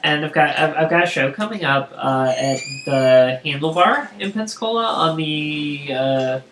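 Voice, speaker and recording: Loud, excitable woman with a bright voice. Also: sits low, a little slow. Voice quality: big voice. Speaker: streamer and host of a co-op gaming session. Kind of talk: casual chat while playing a video game. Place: indoor room, live game stream. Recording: echoey room with background noise.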